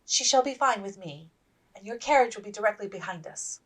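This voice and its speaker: Woman as gentle, reassuring officer's wife. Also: medium pitch, expressive, a little fast, far from the mic, pitched above the performer's own voice, poor audio. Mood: soothing. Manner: placating.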